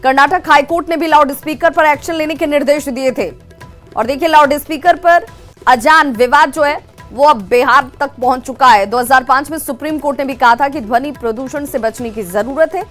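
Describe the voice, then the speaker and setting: A woman's voice: high-pitched, a little fast, fairly bright tone, soft background music. A woman anchor presenting TV news in an urgent narrating style.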